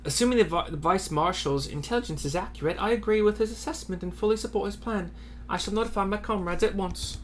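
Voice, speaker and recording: A man's voice: high-pitched, quick pace, few pauses, a little hiss or hum, voice pushed up, lightly acted. A man voicing a frank female resistance fighter from a game, blunt, with an attempted Irish accent. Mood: decisive. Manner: blunt, emphatic. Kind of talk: amateur read-aloud of game dialogue.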